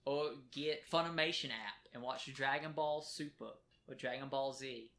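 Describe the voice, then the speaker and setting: A man's voice: mid-range voice, moderate pace, sharp tone. A man co-hosting a casual podcast from a kitchen table at home, talking eagerly and rambling a bit. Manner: enthusiastic, rambling, repeats words. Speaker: podcast co-host. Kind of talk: casual chat.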